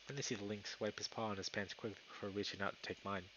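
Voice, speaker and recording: A man's voice: fairly low pitch, level tone, fast talker, lo-fi sound, noisy background. A young man live streaming, moving between casual chat and reading story narration aloud.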